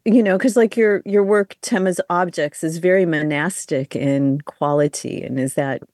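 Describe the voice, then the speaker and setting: A woman's voice: fairly low pitch, normal speed, a little mellow, dry recording, clean studio sound. A woman co-hosting a radio arts interview, asking long, searching questions full of fillers. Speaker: radio arts show co-host.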